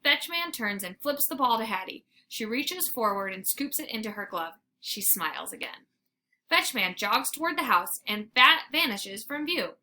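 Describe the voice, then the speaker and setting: A woman reading a children's story aloud like a teacher, in an expressive, theatrical narration. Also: fairly high pitch, moderate pace. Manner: animated, theatrical.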